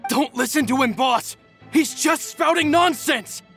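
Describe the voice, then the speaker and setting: A man's voice: very high voice, measured pace, a bit bright, faint music behind, exaggerated voice. A man playing a loyal, protective young henchman in a video game, speaking in urgent exclamations. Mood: indignant. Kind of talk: dramatic video game voice acting.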